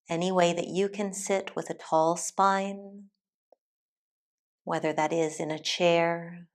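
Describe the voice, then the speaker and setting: A woman teacher leading a guided meditation in a calm, soothing, deliberate way with long pauses. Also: fairly low pitch. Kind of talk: guided meditation, soft instructional narration.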